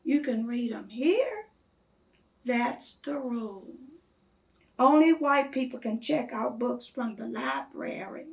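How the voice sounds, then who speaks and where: Reader as a firm woman librarian, high and slow. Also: lively intonation, vocal fry, recorded from a distance, exaggerated voice. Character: firm woman librarian explaining rules to a child.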